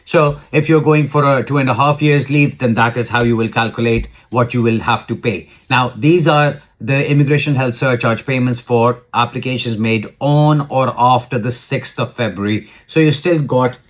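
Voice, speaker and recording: A man's voice: mid-range voice, moderate pace, hardly any pauses. A man explaining rules to viewers as an adviser, informal and plain-spoken, repeating points for emphasis in a cautionary way. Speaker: immigration adviser.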